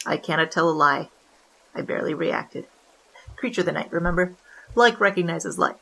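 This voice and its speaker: A woman playing a wry, deadpan bandit who narrates a confession in hardboiled 1920s noir style. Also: lowish voice, moderate pace, vocal fry, full character voice, rough recording. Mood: dramatic, deadpan.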